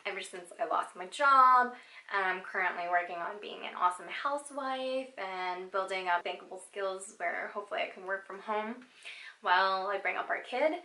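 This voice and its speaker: A woman's voice: mid-range voice, normal speed, bright, forceful tone, roomy sound, low-quality recording. A woman in her thirties from Southern California, chatting sassily and playfully through a get-to-know-me question video. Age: 30s. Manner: sassy, playful, exclamatory. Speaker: vlogger. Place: home, sitting down.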